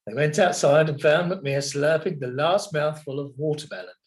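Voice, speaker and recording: A man narrating a children's storybook in an expressive, dramatic manner. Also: a little high, normal speed. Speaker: children's story reader at a primary school.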